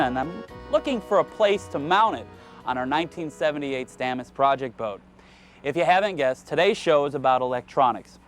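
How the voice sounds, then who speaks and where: A man hosting an instructional how-to show, presenting in a casual, explanatory way outdoors. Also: on the high side. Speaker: TV show host. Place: outdoors on a boat.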